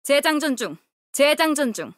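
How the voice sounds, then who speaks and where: A woman's voice: high voice, sing-song, moderate pace, no echo, studio quality. A woman voicing a resolute, composed young female healer and guardian in a video game, speaking firmly with a solemn, commanding manner. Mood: composed, determined. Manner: solemn, commanding, short crisp delivery. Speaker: game character voice actor. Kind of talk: video game character voice lines.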